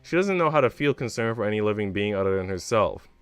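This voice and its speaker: Man reading as a proud, plaintive maid, low-voiced. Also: animated, fast talker, clean tone, no room sound, lightly acted. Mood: bitter. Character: distraught young maid, proud and resentful. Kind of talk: visual novel dialogue read aloud.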